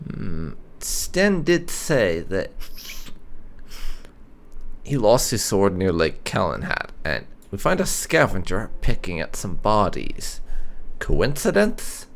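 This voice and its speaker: A man's voice: fairly low pitch, creaky. A man hosting a roleplay game playthrough, commenting in a theatrical, musing way. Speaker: gaming host.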